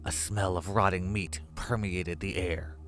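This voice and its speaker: Low-voiced man narrating horror. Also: fairly even intonation, a little slow, fairly bright tone, faint music behind. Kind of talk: scripted horror story narration.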